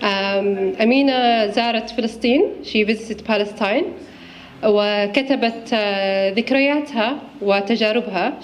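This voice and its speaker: A woman hosting an event, introducing a guest quickly and switching between languages. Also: mid-range voice, a little hiss or hum, poor audio. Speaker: event host. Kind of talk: bilingual introduction.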